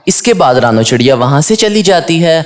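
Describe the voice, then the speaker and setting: A man's voice: fairly high pitch, animated, brisk. A man giving scripted third-person narration for a children's cartoon story.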